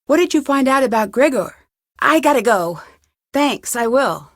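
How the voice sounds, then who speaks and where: A young American woman detective in a mystery game. Her voice sits a little high and she speaks clearly, with a polite, questioning manner. The scripted lines are captured dry, with hardly any room sound. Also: expressive, moderate pace, no echo. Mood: inquisitive. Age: young adult. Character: young female amateur detective, polite, inquisitive, composed. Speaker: voice actress in a detective adventure game.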